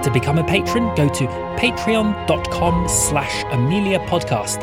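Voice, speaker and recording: A man reading scripted podcast credits and a listener appeal as the announcer. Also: a little high. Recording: loud music under the voice. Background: loud music.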